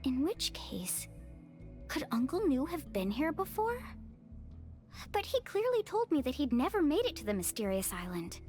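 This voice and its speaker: High-voiced young female expedition guide. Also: big pitch swings, moderate pace, darkish tone, soft background music. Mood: puzzled. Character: level-headed young woman guiding an expedition, friendly and cautious. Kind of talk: English video game voice acting.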